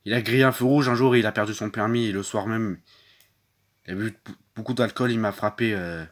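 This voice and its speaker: A man voicing a young battered wife who speaks softly and haltingly, repeating herself and trailing off. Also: on the low side, fairly even intonation, lightly acted. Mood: downcast.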